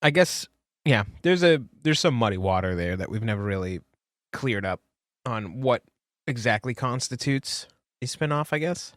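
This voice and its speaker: A man's voice: mid-range voice, animated, normal speed, a bit bright, dry recording, clean studio sound. A man in his late thirties co-hosting a podcast, joking and trailing off as he talks casually. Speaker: podcast co-host. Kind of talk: casual chat.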